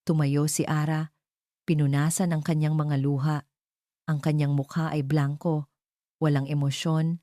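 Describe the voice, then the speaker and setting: Low-pitched woman narrating a dramatic story. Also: flat delivery, a little slow, long pauses, dark tone, dry recording, studio quality.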